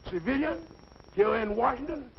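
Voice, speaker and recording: An excitable railway porter, very high and gravelly, forever baffled, in vintage radio comedy style. The old recording is dull with faint noise. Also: male voice, normal speed, full character voice. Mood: incredulous.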